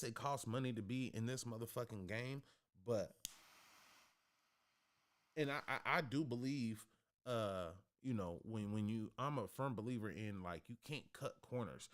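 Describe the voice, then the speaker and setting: Deep-voiced man, blunt and profane. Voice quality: deep bassy voice. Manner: profane, blunt, streetwise slang. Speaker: livestream host. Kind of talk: casual livestream talk. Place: home studio. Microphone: studio podcast microphone.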